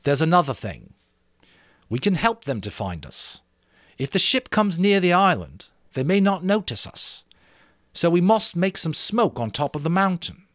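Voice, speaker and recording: An earnest young boy leader acted by a man addresses his group in an audiobook story. His pitch is raised and swings freely as he stresses his points with emphatic conviction. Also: sing-song, normal speed, fairly bright tone, no room sound, clean studio sound. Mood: urgent. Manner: emphatic, speech-making to a group. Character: earnest young schoolboy leader rallying the others. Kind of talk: dramatic audiobook character reading.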